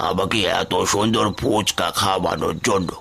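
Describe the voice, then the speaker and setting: A man's voice: deep voice, moderate pace, creaky, very noisy. A man playing a kindly old forest hermit in a cartoon, speaking like a fatherly adviser who gives blessings. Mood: benevolent, solemn. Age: older.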